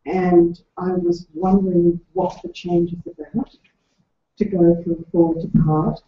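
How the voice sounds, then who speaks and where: A woman in the audience with a soft voice, asking a careful, detailed question. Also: on the low side, steady tone, takes it slowly, a little hiss or hum. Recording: echoey room, dull lo-fi sound.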